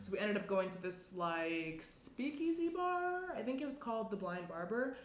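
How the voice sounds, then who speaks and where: A woman's voice: medium pitch, big pitch swings, moderate pace, lightly acted. A young woman playing an anxious, guilty woman who hesitates and trails off in a screenplay table read. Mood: evasive, guilty. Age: young adult. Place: small room at a table. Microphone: shared tabletop microphone. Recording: roomy indoor sound, clear background noise.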